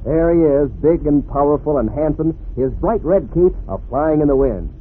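An American man pitches to kids in a lively, swooping, fairly high voice. He sounds chummy and folksy, like a 1940s radio salesman, on a narrow, lightly noisy recording. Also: normal speed. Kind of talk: 1940s radio advertising to children. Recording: vintage radio, narrow band, faint noise.

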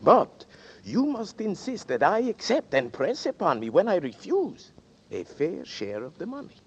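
A man's voice: high voice, huge pitch swings, measured pace, husky, full character voice. An actor playing a small, sly male schemer who talks in a florid, mocking, formal way, in a 1940s radio drama. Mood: sly, amused. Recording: dull old radio broadcast.

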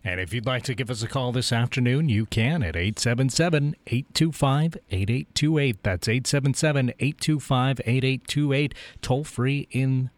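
A man's voice: mid-range voice, moderate pace, few pauses, no echo. A man co-hosting a radio show, announcing the phone number and introducing callers in a plain, announcer-like way. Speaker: radio co-host.

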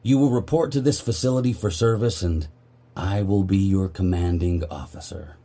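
Stern army commander played by a man, dry and authoritative, deep mellow voice, audio drama. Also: normal speed. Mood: commanding. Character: stern, dry, duty-bound male army officer. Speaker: commanding officer character.

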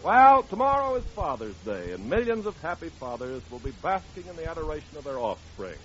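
A man's voice: fairly high pitch, sing-song, brisk, faint music behind. A man announcing and narrating a 1940s radio show in an emphatic, mock-solemn, theatrical way. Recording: muffled old radio broadcast recording.

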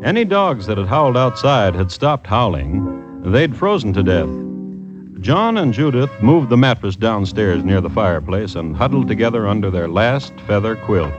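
Deep, wry radio storyteller. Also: male voice, big pitch swings, moderate pace. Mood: wry, amused. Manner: wry, darkly droll. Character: wry storyteller narrator of a grim country tale. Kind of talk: scripted radio drama narration. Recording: dull old radio recording. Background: music underneath.

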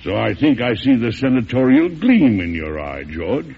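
An actor playing a shrewd, avuncular elderly American president in an old-time radio drama, with a gravelly, husky voice and emphatic delivery. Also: male voice, mid-range voice, very animated, moderate pace, heavily acted. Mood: genial, persuasive. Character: folksy, shrewd, strong-willed elderly male US president. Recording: dull, muffled vintage radio recording.

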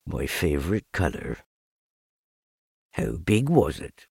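Guy putting on a sad old-storybook donkey, super low n gravelly, murmuring real slow. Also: big pitch swings, darkish tone, no room sound, studio quality, full character voice. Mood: gloomy, wistful. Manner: slow, mournful, murmuring to himself. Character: gloomy, slow, melancholy male donkey.

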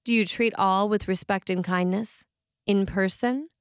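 A woman reads a prepared sermon in a measured, deliberate manner. Her voice sits at a medium pitch, and the recording is dry, with very little room sound. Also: steady tone, clear, resonant tone, dry recording. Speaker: preacher reading a written sermon for a podcast. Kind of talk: scripted sermon reading.

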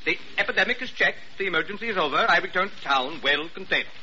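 An actor playing a pompous, fussy hospital chief in an old radio drama, formal and emphatic, handing out grand praise. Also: male voice, sits high, expressive, fast, bright, forceful tone, exaggerated voice. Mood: pompous, magnanimous. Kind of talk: 1940s-50s American radio drama acting. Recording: muffled, faintly noisy old radio recording.

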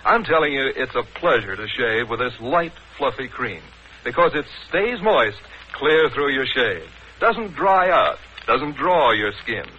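A man's voice: medium pitch, sing-song, a little slow, slight background noise. An American man reading a 1940s radio advertisement as a breezy, polished hard-selling announcer. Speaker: commercial announcer. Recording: dull 1940s radio recording.

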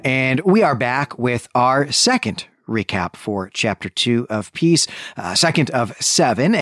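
A man's voice: medium pitch, no room sound, studio quality. A man co-hosting a podcast, giving a chatty, detailed literary recap in an explanatory way. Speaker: podcast co-host.

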